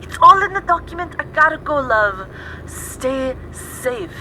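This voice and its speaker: A woman's voice: sits high, very animated, measured pace, bright, forceful tone, heavily acted, poor audio. A woman playing a caring, teasing and bossy female mechanic friend in an audio drama. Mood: firm, caring. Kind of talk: audio drama dialogue over a call. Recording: clear vehicle and street noise behind.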